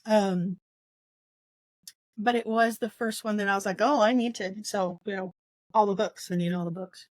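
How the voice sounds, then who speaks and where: A woman's voice: medium pitch, steady tone, moderate pace, long pauses, no room sound. Middle-aged woman, casual, repetitive, dry sound. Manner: emphatic repetition of short phrases. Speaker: podcast co-host. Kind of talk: casual book discussion.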